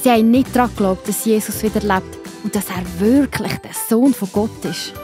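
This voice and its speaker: A woman with a Swiss German accent narrating a Bible story for children in a gentle, explaining manner. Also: medium pitch, moderate pace, music in the background.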